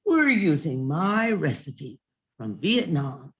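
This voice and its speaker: A calm, assured old grandmother in a storybook, voiced by a woman reader. She speaks in a settled, matter-of-fact way, low and unhurried. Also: exaggerated voice. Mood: calm assurance.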